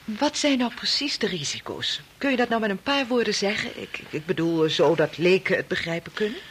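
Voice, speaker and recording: An actress playing an anxious wife in a radio play, with a husky voice and a hesitant, fretful way of talking. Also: female voice, medium pitch, expressive, brisk, background noise, close to an everyday voice. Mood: anxious, questioning. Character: anxious wife recounting a frightening dream, fretful.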